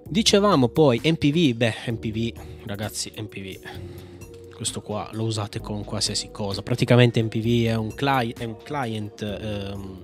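A man from Italy hosting a casual software walkthrough, chatting informally and thinking aloud as he tries commands. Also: on the low side, unhurried, faint music behind. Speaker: tech tutorial host. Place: indoor room at a computer, screencast with small webcam.

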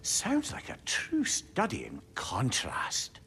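An actor playing a witty, cheeky talking severed head who speaks in a flowery, teasing, eloquent way. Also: male voice, medium pitch, wildly swooping pitch, slow, creaky, sharp tone, slight background noise, heavily acted.

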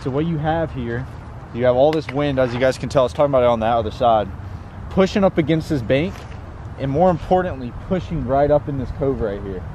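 A man's voice: medium pitch, expressive, fairly quick, a little mellow. A young man, casually and enthusiastically explaining fishing in a folksy way as a video host. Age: young adult. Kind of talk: casual instructional fishing vlog. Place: outdoors on a pond bank. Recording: outdoor wind and ambient noise.

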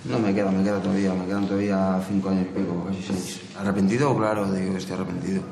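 A man's voice: low-pitched, flat delivery, rapid-fire, vocal fry, a little mellow, echoey room, long reverb tail. A man, an inmate, speaking reflectively about his sentence.

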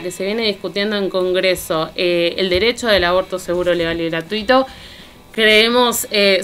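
A woman's voice: medium pitch, a bit bright, clean tone. A woman with an Argentine accent, speaking as a columnist in a radio discussion, reading out and commenting. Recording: music playing behind the voice. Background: music.

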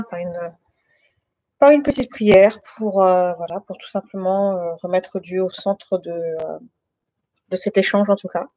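A woman's voice: mid-range voice, darkish tone, no room sound. Hesitant woman from France, dry close sound. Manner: hesitant, halting delivery with many pauses and repetitions. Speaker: testimony speaker. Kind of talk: informal personal testimony to an online group. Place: living room at home.

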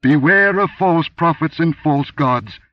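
A man reading aloud, voicing a commanding, inhuman goddess who booms warnings down from on high. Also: slow, no room sound, far from the mic, dull audio. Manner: booming, thundering pronouncements.

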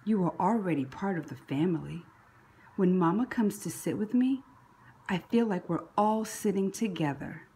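Husky, animated woman reading a picture book. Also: medium pitch, normal speed. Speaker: picture book read-aloud narrator. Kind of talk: expressive children's story reading.

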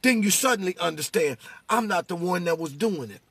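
A middle-aged American man with a raspy voice, giving impassioned, casual testimony about faith in a preacher-like way, inside a vehicle. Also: high-pitched, a little fast, no room sound. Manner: animated, preacher-like, repeats phrases for emphasis.

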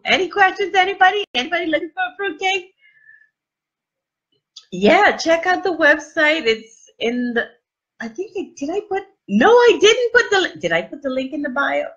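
A woman's voice: very high-pitched, big pitch swings, measured pace, pauses often, fairly bright tone. A middle-aged woman hosting a casual live unboxing from a small room, gushing and exclaiming over what she shows, with many fillers. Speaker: livestream host. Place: small indoor room with plain wall. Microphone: webcam at desk distance. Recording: dull lo-fi webcam audio.

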